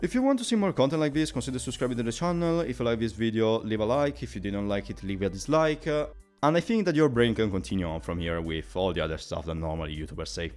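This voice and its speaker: A man's voice: on the low side, lively intonation, fast, a little mellow, soft background music. A man reading a scripted video game review in formal, wordy phrasing with dry asides, as a host.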